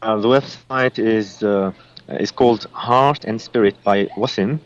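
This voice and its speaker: A man from Lebanon with an Arabic-accented voice, giving psychic readings as a guest and pausing often with fillers. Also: on the low side, dull audio. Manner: halting, many uh and um fillers.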